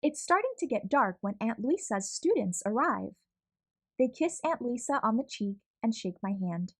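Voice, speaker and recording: Animated woman reading a picture book. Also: on the high side, normal speed. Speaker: children's book reader. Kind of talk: read-aloud storytelling.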